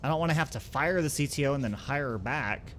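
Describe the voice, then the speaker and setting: A man's voice: mid-range voice, lively intonation, quick pace, a bit bright, slight background noise. A man gives casual let's-play commentary as a gaming host, thinking aloud and talking through each step.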